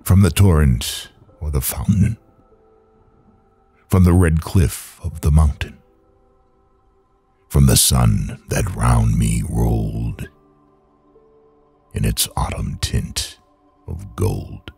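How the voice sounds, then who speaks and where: A man with a gravelly, raspy voice reciting solemn poetry dramatically, brooding, in halting phrases with long pauses. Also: rumbling low, dark tone, dry recording, faint music behind. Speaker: poetry reader.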